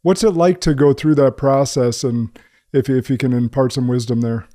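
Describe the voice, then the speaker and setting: A man's voice: medium pitch, steady tone, moderate pace, warm tone. A man hosting a podcast, asking loose, rambling questions and often correcting himself. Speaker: podcast host. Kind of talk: casual interview questions.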